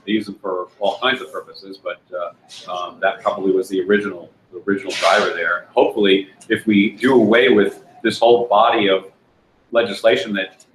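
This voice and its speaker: An older man, a candidate, answering questions at a panel discussion in a restaurant room, pausing and restarting often. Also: on the low side, steady tone. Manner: halting, many restarts and fillers. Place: restaurant room with brick walls. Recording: echoey room, faint noise.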